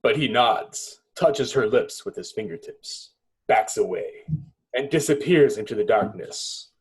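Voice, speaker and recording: A man reading stage directions as narrator at a table read, in dramatic, clipped phrases with pauses. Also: medium pitch, lively intonation, breathy edge, poor audio.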